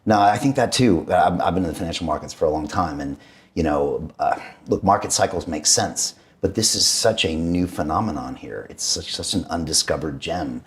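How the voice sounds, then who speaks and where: A man's voice: low-pitched, moderate pace. A man hosting an interview, talking casually and emphatically, often repeating words. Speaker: podcast host.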